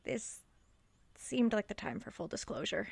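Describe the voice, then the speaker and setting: An earnest college girl, acted by a woman with a medium-pitched young female voice. She comes across as prim, awkward and exasperated, in audio drama dialogue. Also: expressive, a little slow, vocal fry, husky, exaggerated voice. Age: young adult.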